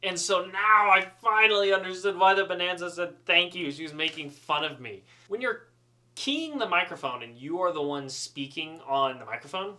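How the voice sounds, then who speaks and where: Bright-voiced man telling a funny story. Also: high-pitched, moderate pace. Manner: animated, self-deprecating, chatty. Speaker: student pilot storyteller. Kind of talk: casual humorous storytelling.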